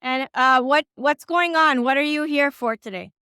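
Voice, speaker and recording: A woman's voice: high voice, normal speed, pushed and bright, no room sound, clean studio sound. A woman interviewing people at a rally, asking casual questions with fillers.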